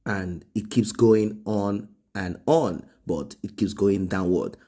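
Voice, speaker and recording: Man, Nigerian accent, lowish pitch, very mellow relaxed tone, conversational gossip host, repeats for emphasis. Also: slow, slightly rough. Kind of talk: informal celebrity gossip commentary.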